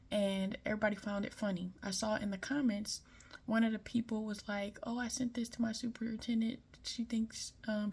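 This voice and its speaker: A woman's voice: medium pitch, vocal fry, darkish tone, husky. Frank woman with light Southern accent. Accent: light Southern American. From Detroit. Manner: opinionated, frank, conversational. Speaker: commentator. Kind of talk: casual monologue.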